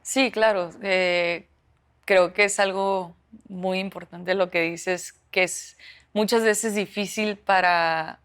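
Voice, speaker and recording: A woman's voice: fairly low pitch, steady tone, takes it slowly, fairly bright tone, smooth. A young woman from the United States answering interview questions, hesitant, with many fillers and restarts. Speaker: professional footballer.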